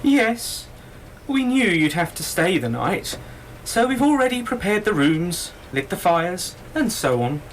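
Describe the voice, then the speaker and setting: A woman's voice: lowish voice, big pitch swings, a little slow, slight background noise, poor audio. A woman acting a hospitable, solemn bed-and-breakfast hostess in an amateur radio play, earnest and story-telling.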